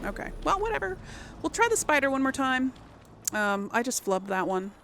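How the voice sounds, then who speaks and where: A woman's voice: sits high, sing-song, normal speed, fairly bright tone, slight background noise. A woman giving casual gameplay commentary, thinking aloud and muttering complaints to herself as she plays. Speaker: let's play host. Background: video game music and sounds.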